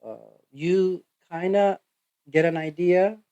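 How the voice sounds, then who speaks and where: Turkish man, physical therapist guest, accented English, mellow tone, hesitant delivery, dry close recording. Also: sits high, no room sound, lo-fi sound. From Turkey. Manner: halting, full of "you know" fillers. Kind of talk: podcast interview answers.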